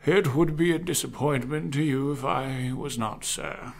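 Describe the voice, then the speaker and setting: An actor playing a loyal, wise butler in an audio drama, formal, measured and gently wry. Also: male voice, mid-range voice, gravelly. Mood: patient, wry.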